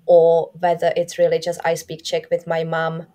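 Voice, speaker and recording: A woman who teaches at a school, answering interview questions in a measured, thoughtful way. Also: on the low side, completely flat delivery, mellow. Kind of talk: interview conversation.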